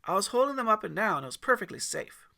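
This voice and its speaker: A man puts on an eager young boy from a storybook. He sounds earnest and childlike, pitched up, with a bright, pushy edge. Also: very animated, fast, vocal fry, heavily acted. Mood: defensive. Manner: childlike, matter-of-fact, eager.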